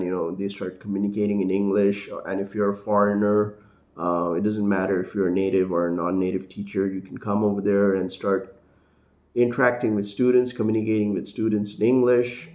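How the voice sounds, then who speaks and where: A man's voice: lowish voice, reverberant. A man from India with a neutral American accent, an English teacher talking casually to camera, rambling with lots of fillers and restarts. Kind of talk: casual talking-head vlog.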